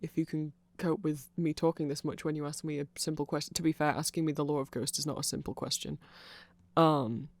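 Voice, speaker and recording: A performer voicing a nerdy, awkward, eager vampire friend in a rambling, hesitant ASMR roleplay, halting and self-conscious. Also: mid-range voice, steady tone, natural voice. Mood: shy, flustered. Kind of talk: rambling ASMR roleplay, explaining fan lore to a listener.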